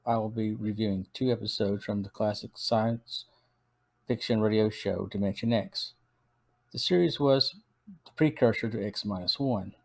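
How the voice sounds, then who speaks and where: Man reading a podcast review. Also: on the low side. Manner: steady, even read-aloud delivery. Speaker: podcast host. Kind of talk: scripted episode review.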